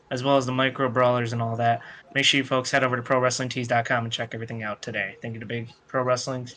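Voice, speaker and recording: A man's voice: medium pitch, pushed and bright. A man co-hosting a podcast in casual, bantering style. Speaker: podcast co-host.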